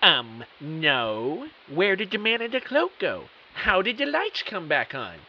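A man's voice: high voice, wildly swooping pitch, slow pace, heavily acted. A man playing a bewildered computer technician who narrates his own mystery audio drama with earnest, melodramatic emphasis. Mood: puzzled. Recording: dull, hissy audio.

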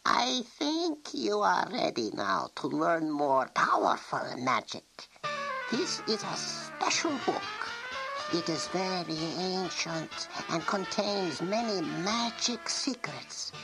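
A woman voicing a kind, wise old witch in a storybook read-aloud, speaking slowly and solemnly. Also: fairly low pitch, sing-song, gravelly, pitched above the performer's own voice, full character voice, poor audio. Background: music.

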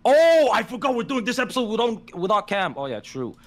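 Brash lively young man hosting a call. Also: very high voice, a little fast. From Somalia. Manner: brash, swearing, bossy. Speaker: podcast host. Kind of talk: casual group video call. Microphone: video call.

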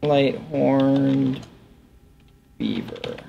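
Male gaming host, bemused and thinking out loud, lively intonation with very unhurried, broken-up phrasing. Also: mid-range voice, vocal fry, dull audio, noisy background. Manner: halting, musing, bemused. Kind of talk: casual game commentary, thinking aloud. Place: indoor room at a desk. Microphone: headset.

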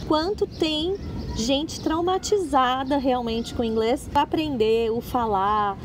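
A woman's voice: sits high, slow. A middle-aged Brazilian woman, an English teacher, talking earnestly in a casual conversation and explaining with examples. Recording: street noise behind.

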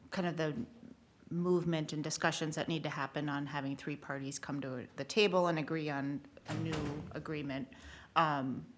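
A woman's voice: low voice, slight background noise. A woman at a council meeting asking questions in a tentative, roundabout way. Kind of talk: formal meeting discussion.

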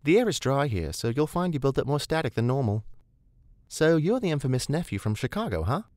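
An easygoing young woman scientist from an audiobook, voiced by a male narrator. She sounds casual, confident and teasing, with a lifted pitch and lively intonation in a dry recording. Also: brisk, dry recording, lightly acted. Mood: friendly, amused. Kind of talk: audiobook character dialogue.

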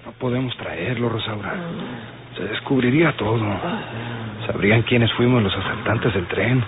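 An actor in an old radio drama playing a loyal, cautious outlaw accomplice who consoles and advises. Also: male voice, medium pitch, moderate pace, background noise, natural voice. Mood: cautious concern. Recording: tinny old radio recording with music.

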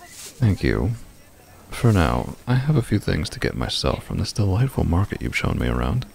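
Male actor as smooth-mannered villain for fantasy roleplay: low, creaky, polite yet taunting. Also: big pitch swings, normal speed, a little mellow, heavily acted. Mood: courteous, pleasant. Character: smooth menacing male stranger, falsely courteous villain. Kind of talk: fantasy audio roleplay acting.

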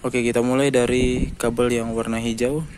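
A man hosting a casual motorcycle how-to vlog, explaining things slowly step by step. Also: medium pitch, slight background noise, rough recording. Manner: slow, flat step-by-step explaining. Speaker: motovlog host. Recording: music bed underneath.

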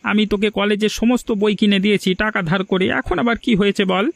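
A man's voice: sits high, little pitch movement, fast talker, no echo. A man voicing a weary, principled village father in a Bengali cartoon, grumbling as he talks.

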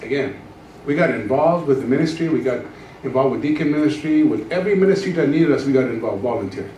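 A man's voice: medium pitch, quick pace, a little hiss or hum. A middle-aged man preaching a testimony sermon on a church stage into a handheld mic, acting out the dialogue as he tells it. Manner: animated storytelling, acts out dialogue. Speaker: guest pastor. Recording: echoey room from far off.

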